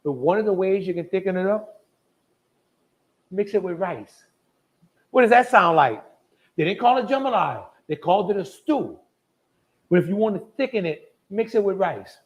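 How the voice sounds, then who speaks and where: A man's voice: high-pitched, animated, moderate pace, pauses often, lo-fi sound. A man hosts a casual live cooking show. He talks in a folksy, chatty way, with plenty of down-home phrasing, as if to regulars.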